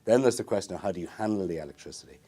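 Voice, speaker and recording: A man's voice: deep voice, lively intonation, rapid-fire, warm tone, husky. A man, explaining ideas in long, thoughtful sentences during an interview. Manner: thoughtful, many fillers and restarts, long building sentences. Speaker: marine research interviewee. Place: small indoor interview room.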